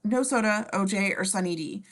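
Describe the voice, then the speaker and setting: A woman's voice: mid-range voice, moderate pace, a bit bright. A woman reading scene descriptions for a table read, informal and given to wry asides. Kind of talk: scripted narration in casual table read.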